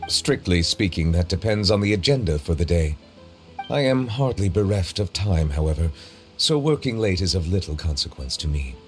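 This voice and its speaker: A deep-voiced, long-lived chief judge from a video game, formal, earnest and reserved. He speaks with measured precision in a reverberant space, with music behind him. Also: expressive. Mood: composed, formal.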